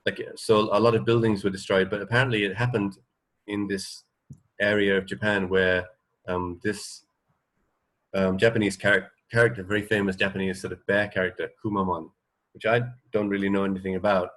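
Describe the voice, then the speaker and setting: A man, middle-aged, co-hosting a radio show in casual chat, halting and tentative as he thinks aloud. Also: fairly low pitch, level tone, fairly bright tone.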